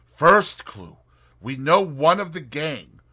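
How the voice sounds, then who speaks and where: A man raises his voice into a brisk young woman detective, eager and emphatic. The pitch is lifted and leaps around with lively energy. Her tone is bright and crisp, as read aloud in an audiobook. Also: heavily acted. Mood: determined. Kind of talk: audiobook character reading.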